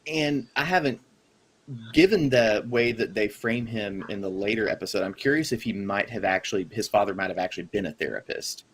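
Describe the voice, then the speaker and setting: A man with a fairly low voice co-hosting a casual podcast chat over a call. He muses aloud in a thoughtful, wandering way and often trails off mid-sentence. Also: a little fast, fairly bright tone, slight background noise. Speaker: podcast co-host.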